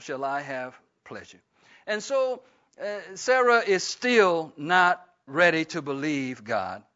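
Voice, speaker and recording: A man's voice: high-pitched, sharp tone. An older man, preaching a folksy Bible-study sermon full of fillers and restarts. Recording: dull lo-fi recording.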